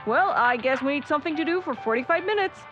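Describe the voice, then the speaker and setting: High, animated young sidekick played by a woman. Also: quick pace, over music. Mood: upbeat. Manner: coaxing, playful. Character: upbeat, teasing young female sleuth sidekick. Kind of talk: naturalistic audio drama acting. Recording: dull sound, faint noise.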